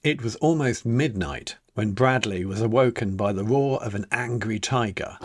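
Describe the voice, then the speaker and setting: Slow, very expressive male storyteller, dry recording. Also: mid-range voice, slightly rough, no room sound. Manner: dramatic, deliberate storytelling with emphatic pauses. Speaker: author reading his own picture book. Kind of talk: expressive children's story narration.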